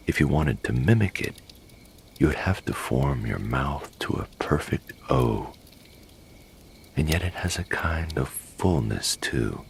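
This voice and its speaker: A man narrating a guided sleep meditation in a hushed, breathy, gravelly voice, soothing and unhurried like a bedtime storyteller. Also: a little hiss or hum. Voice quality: breathy, whispery, gravelly low voice.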